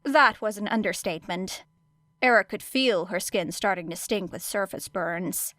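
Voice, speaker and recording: A woman's voice: medium pitch, normal speed, a bit bright, no echo, studio quality. Female audiobook narrator for scripted fiction, mid-range voice, no room sound.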